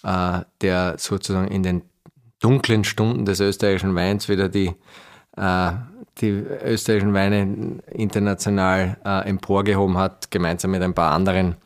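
Deep voiced austrian guy from styria chatting in an interview, kinda thinking as he goes. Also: flat delivery, moderate pace. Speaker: podcast guest, winery managing director. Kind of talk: casual interview conversation.

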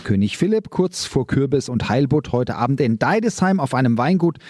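A man radio reporter giving a light, playful report on a royal visit with a husky voice. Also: mid-range voice, lively intonation, normal speed, background noise.

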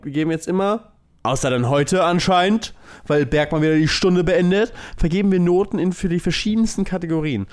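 A man's voice: high voice, fairly quick. A man co-hosting a podcast in casual, conversational chat. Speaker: podcast co-host.

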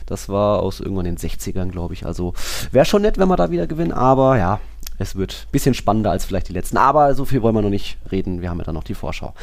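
Low-voiced man on a podcast. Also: big pitch swings, fast, gravelly. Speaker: football podcast co-host. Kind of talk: casual two-person sports podcast chat.

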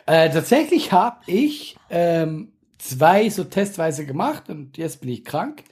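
A man co-hosting a podcast in relaxed, chatty conversation, slightly congested from a cold. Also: on the high side, slow pace, breathy edge. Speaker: podcast co-host. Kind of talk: casual chat.

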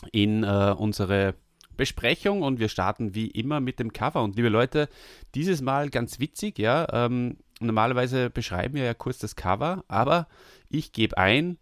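A man hosting a podcast, chatting casually and relaxed with many fillers. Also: medium pitch, measured pace, no room sound, studio quality. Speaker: podcast host.

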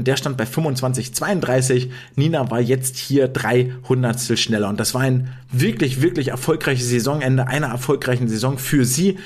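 Chatty man hosting a swim podcast. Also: mid-range voice. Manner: chatty, many fillers and restarts. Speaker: podcast host. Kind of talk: casual podcast commentary.

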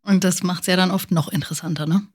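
A woman's voice: lowish voice, fairly even intonation, fast, darkish tone, breathy edge. A woman hosting a podcast, asking questions and talking in an engaged, informal, conversational way. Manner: engaged, addresses listeners directly and informally. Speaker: podcast host.

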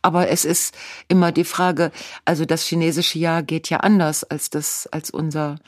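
Low-voiced playful woman in her fifties. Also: level tone, moderate pace, no room sound, clean studio sound. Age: 50s. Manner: playful, laughs often, reads horoscopes aloud. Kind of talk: casual chat.

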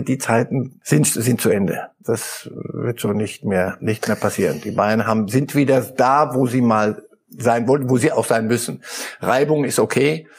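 A middle-aged man talking football as a pundit on a talk show, thoughtful and halting, often repeating words as he searches for them. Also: medium pitch, gravelly, slightly rough. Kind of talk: studio talk show discussion.

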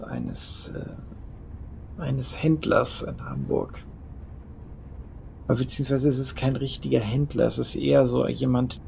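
A thoughtful, learned male vampire, acted by a man in an audio play. He has a fry-laden voice and picks his formal words haltingly. Background noise and ambience sit behind him. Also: medium pitch, darkish tone. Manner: hesitant, halting, formal wording. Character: thoughtful, scholarly male vampire sharing half-remembered knowledge. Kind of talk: audio drama role-play dialogue.

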